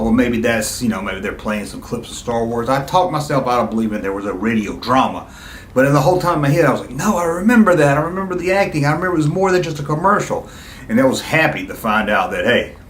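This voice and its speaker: A middle-aged man hosts a casual video, reminiscing in an enthusiastic, rambling way. His pitch sits in the middle for a man. The sound is noticeably roomy, with audible echo around his conversational delivery. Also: animated, fast talker, a bit bright, a little hiss or hum. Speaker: YouTube video host. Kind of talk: casual nostalgic reminiscing to viewers.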